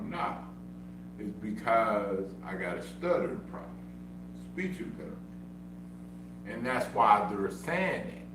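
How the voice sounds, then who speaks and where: Slow, insistent man who stutters. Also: on the low side. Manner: repetitive, insistent, self-defending. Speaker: streamer. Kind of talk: casual livestream monologue. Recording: echoey room, far from mic, humming noise.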